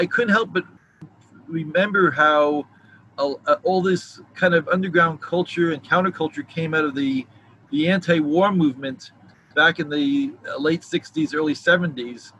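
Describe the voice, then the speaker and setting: A man's voice: on the high side, moderate pace, bright, forceful tone, slight background noise, rough recording. A novelist in his sixties from New York City, answering interview questions in a rambling, reflective way with many restarts. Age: 60s. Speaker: novelist, guest. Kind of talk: casual video interview.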